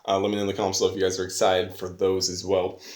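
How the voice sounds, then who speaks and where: Low-voiced man rambling in an echoey room. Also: monotone, rapid-fire, darkish tone. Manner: rambling, slangy, enthusiastic. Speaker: online video host, reviewer. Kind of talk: casual unscripted product review vlog. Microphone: phone recording. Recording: roomy echo on phone camera.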